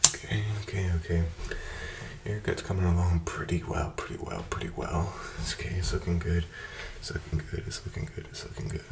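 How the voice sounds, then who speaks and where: A young man in his twenties doing an ASMR barber roleplay, talking softly and slipping into whispers, with calm, repetitive, soothing chatter into a close microphone. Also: low voice, normal speed, background noise. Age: 20s. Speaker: ASMR creator playing a barber. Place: bright indoor room with plants. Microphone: close desk microphone in front of him.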